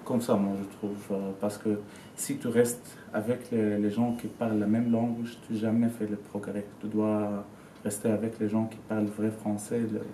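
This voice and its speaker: A man's voice: on the low side, flat delivery, moderate pace, warm tone. A man in his twenties from Syria, speaking French as an interviewee, searching for words but talking with conviction. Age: 20s. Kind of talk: casual testimony in a learned language. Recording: roomy, distant mic, faint noise.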